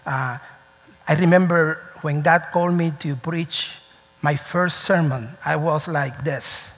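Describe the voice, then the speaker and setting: A man preaching a sermon as pastor, animated and storytelling, with nonstandard English grammar. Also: on the high side, slow. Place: church sanctuary. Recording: reverberant church hall.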